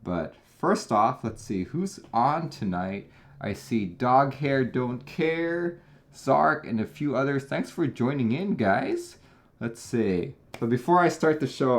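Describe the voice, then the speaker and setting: A man from Taiwan hosting a casual live stream, talking in a chatty, relaxed way with frequent small restarts. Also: mid-range voice. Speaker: host.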